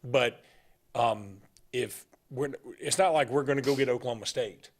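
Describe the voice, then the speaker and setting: A middle-aged man talking sports on a casual show. He rambles and gives strong opinions, with plenty of jokes along the way. His pitch sits in the middle range for a man. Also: moderate pace. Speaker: sports talk show co-host.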